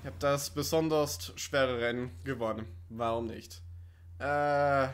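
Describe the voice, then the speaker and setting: A man's voice: mid-range voice, fairly even intonation, a little slow, a little hiss or hum. A man giving casual, sarcastic let's play commentary with chuckling asides. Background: game music and car sounds.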